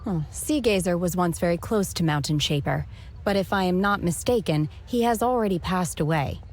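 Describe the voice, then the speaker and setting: A woman voicing a reserved, aloof young mystic in a video game, speaking calmly and formally in a measured way. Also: lowish voice, close to an everyday voice. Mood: calm, detached. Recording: game music behind. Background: game music.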